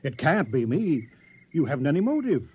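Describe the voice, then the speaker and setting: Flustered small-town mayor, raspy, stammering, folksy, muffled old radio recording. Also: male voice, a little high, sing-song, moderate pace. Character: flustered, eager-to-please small-town mayor turned general. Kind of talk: old-time radio drama acting.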